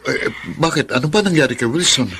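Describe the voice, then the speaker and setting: A man's voice: fairly high pitch, expressive, fairly quick, fairly bright tone, slightly rough. An actor with a Filipino accent playing a devoted, consoling male friend in earnest, emotive radio romance style. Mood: concerned.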